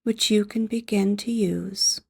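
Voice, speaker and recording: Female sleep hypnosis guide: mellow, creaky low-energy delivery, soft and breathy, gentle, measured, with long pauses. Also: steady tone, no echo, clean studio sound. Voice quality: soft, breathy, often near a whisper.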